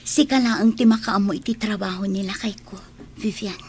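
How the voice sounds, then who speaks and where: A woman's voice: mid-range voice, normal speed, a little hiss or hum, close to an everyday voice. A woman playing a troubled village wife in a radio drama, plaintive and worried, with an Ilocano accent. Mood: worried, sad. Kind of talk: Filipino comedy radio drama acting.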